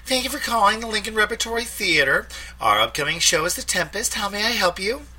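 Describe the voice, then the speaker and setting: A man's voice: high voice, big pitch swings, a little fast, sharp tone, breathy edge, voice pushed up, full character voice. A man doing a chirpy young receptionist woman. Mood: polite. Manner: chirpy, scripted politeness. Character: polite young female theater receptionist.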